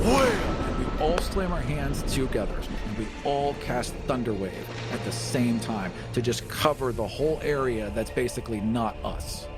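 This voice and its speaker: A man playing a tabletop roleplaying game, casually describing what his characters do. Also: medium pitch. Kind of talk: casual play-by-play of game actions. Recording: faint music behind. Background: background music.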